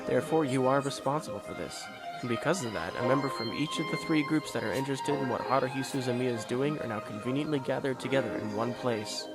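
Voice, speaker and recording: A man voicing a calm, polite teenage esper boy in an amateur anime fan dub, explaining things in a composed, courteous way. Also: medium pitch, fast talker, few pauses, natural voice. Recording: loud music under the voice.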